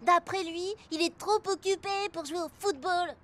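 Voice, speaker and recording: A woman voicing an earnest, friendly child in a French cartoon dub, expressive and small-voiced. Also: very high voice, moderate pace. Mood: disappointed.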